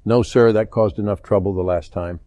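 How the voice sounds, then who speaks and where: An actor playing a businesslike military official in an audiobook, giving a matter-of-fact briefing. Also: male voice, fairly low pitch, steady tone, fairly quick, warm tone, natural voice. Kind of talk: audiobook character acting.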